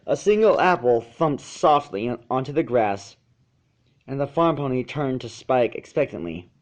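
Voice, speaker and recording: A man's voice: medium pitch, lively intonation, moderate pace. A man reading a story aloud as narrator, sometimes stumbling over and repeating phrases. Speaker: narrator of fan fiction.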